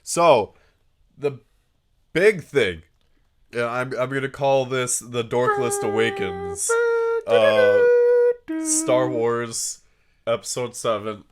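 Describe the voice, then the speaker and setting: A man co-hosting a relaxed podcast while fighting a cold. His voice comes out stuffy and nasal, and he talks in a loose, hesitant way, often breaking off and starting his sentence again. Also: mid-range voice, takes it slowly, long pauses, a little hiss or hum. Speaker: podcast co-host. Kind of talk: casual chat.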